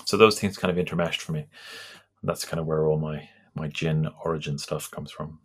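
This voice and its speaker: A guest talking about folklore in a casual conversation, in a halting, thoughtful way with many restarts. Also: extremely low pitch, steady tone, husky.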